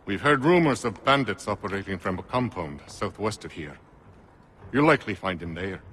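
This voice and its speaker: An actor playing a dignified gentleman scholar in a video game, speaking in a formal, measured and courteous way. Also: fairly low pitch, expressive. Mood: courteous, grave. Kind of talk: video game cutscene acting. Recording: long echoing hall, clear noise.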